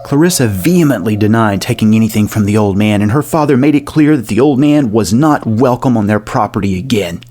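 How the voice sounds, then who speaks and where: A man narrating a horror story in a measured, literary and ominous storytelling manner. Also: lowish voice. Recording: music behind the voice. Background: soft music.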